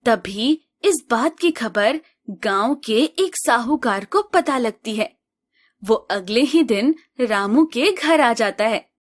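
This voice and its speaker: Woman narrating a village story, slow and even, a bit high.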